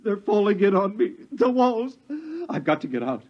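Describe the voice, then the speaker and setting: An actor playing a terrified madman locked in a cell, pleading in a shrill, panicked, sobbing voice. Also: big pitch swings, moderate pace. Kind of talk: 1950s radio acting. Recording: dull 1950s radio broadcast.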